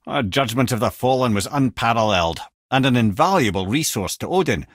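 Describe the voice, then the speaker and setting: Guy doing a wry old scottish sage for a video game, really animated storyteller, close dry sound. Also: medium pitch, fairly quick, no echo, close to an everyday voice. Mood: storytelling. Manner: eloquent, wry storyteller with colourful old-fashioned turns of phrase. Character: wise, wry, talkative severed head of an old sage. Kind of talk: video game character lines, storytelling and banter.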